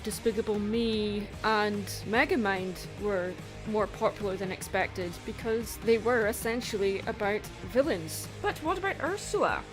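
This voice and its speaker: A woman with a somewhat high-pitched voice narrates a scripted video essay. Her delivery is playful and expressive, leaning on rhetorical questions. Soft music plays behind her voice. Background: background music.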